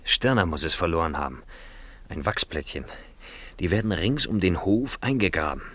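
A man's voice: sits low, lively intonation, moderate pace, a bit bright. An actor playing a dry, matter-of-fact garage man in a 1950s German radio play. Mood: conspiratorial, curious.

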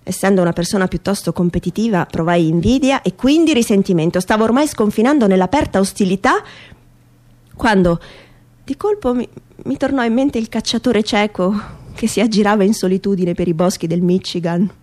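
A woman's voice: mid-range voice, a little fast, warm tone. Woman reading a wry literary monologue. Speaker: performer reading a literary text. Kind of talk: theatrical radio reading of a humorous literary monologue.

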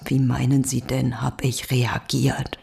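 A grieving, frightened mother under interrogation in a radio drama. Her voice is very low for a woman and heavy with creak. She answers haltingly and defensively. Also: level tone, darkish tone, exaggerated voice. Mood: defensive, shaken. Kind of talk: German radio drama acting.

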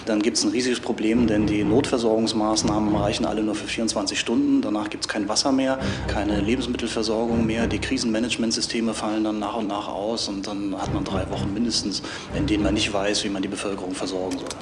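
A man's voice: fairly low pitch, flat delivery, fast talker. A man giving matter-of-fact, explanatory expert commentary. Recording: echoey room with clear noise.

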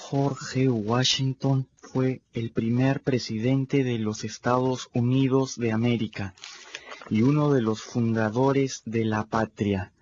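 An actor voicing a plucky, sly runaway boy in a radio drama, telling his story in the first person in a lively way. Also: medium pitch, takes it slowly, slight background noise, natural voice. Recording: dull lo-fi radio recording. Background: clear music bed.

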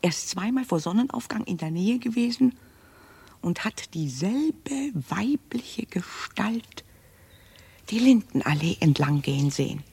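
A woman's voice: mid-range voice, expressive, measured pace, gravelly, mellow, husky, heavily acted. An actress playing a sensible yet gossipy female servant in a radio drama, chatty and kindly chiding. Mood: hushed, gossipy. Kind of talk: German radio drama acting.

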